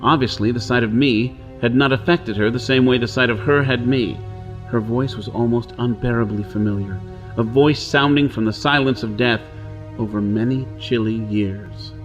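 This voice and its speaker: A male actor plays a hard-pressed outlaw in a pulp science fiction radio drama. He is wary and brusque, with a brooding, dramatic delivery in a medium-pitched voice. Faint music runs underneath. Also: normal speed, natural voice. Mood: brooding, reflective. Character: brusque, wary hunted outlaw man on Mars. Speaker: narrator and lead actor. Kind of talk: pulp radio drama acting and first-person narration.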